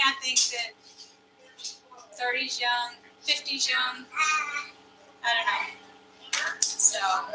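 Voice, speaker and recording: A woman's voice: high-pitched, sharp tone, echoey room, recorded from a distance, rough recording. A woman around thirty from Arkansas, chatting casually and rambling with lots of fillers as she hosts a live stream. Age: about 30. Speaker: farm channel host reading live chat.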